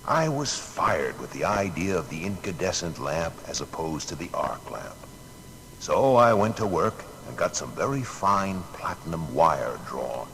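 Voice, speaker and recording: An actor playing a proud male inventor recounting his discoveries in a deliberate, declamatory, gravelly voice for an audio biography. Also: low voice, very animated, fairly bright tone, slight background noise, exaggerated voice, poor audio. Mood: earnest reminiscing. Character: Thomas Edison, American inventor recounting his discoveries with pride. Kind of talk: dramatised first-person narration.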